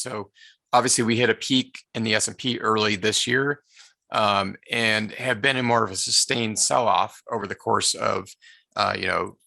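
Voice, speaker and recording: A man with a hoarse, deeply gravelly voice talks through market charts in a live trading webinar. His tone is bright and forceful, and the sound is close and dry. He speaks in a halting way, full of "uh"s and restarts. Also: no room sound. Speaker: trading educator presenting a live market session.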